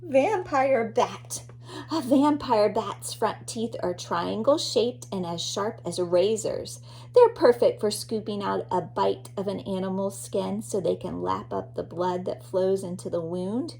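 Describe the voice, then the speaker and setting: Lady teacher doing a read-aloud for kids, slow and expressive, bit of creak in her voice, some background noise. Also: medium pitch, rough recording. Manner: expressive, addresses young listeners with questions and interjections. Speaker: teacher reading a children's book aloud. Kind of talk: read-aloud of a children's nonfiction book with asides.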